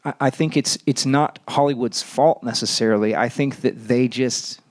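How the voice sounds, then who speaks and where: A man's voice: medium pitch, normal speed. Man, talent agent, panel guest; mid-range voice, hesitant delivery with frequent fillers. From American South. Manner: hesitant, many fillers and restarts. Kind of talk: panel discussion. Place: festival panel stage.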